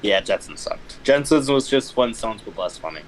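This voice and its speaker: A man's voice: on the low side, animated, moderate pace, rough recording. A man chatting casually, joking and swearing freely. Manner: profane, joking. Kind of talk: casual chat. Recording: faint background noise.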